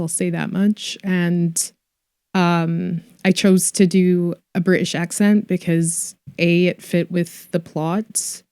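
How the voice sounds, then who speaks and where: A woman's voice: medium pitch, a little mellow, smooth, no echo, clean studio sound. A young woman from Scotland with a mixed Scottish and Canadian accent, chatting as an actress guest, giggly and full of likes and ums. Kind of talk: casual interview chat.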